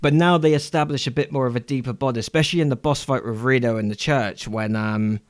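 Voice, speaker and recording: A man in a casual podcast discussion, explaining his points in a measured, thinking-aloud way. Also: mid-range voice, dry recording, studio quality. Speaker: podcast panelist.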